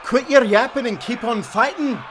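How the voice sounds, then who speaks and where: A man's voice: extremely high pitch, moderate pace, noisy background. A man playing a bold, defiant Scottish timberman with a swaggering manner in an audio drama. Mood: rousing, combative.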